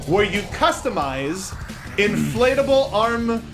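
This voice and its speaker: A man with a high-pitched voice leads a casual panel chat as host. His delivery is animated and enthusiastic, with a conversational, off-the-cuff feel. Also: takes it slowly, music in the background. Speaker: talk show host.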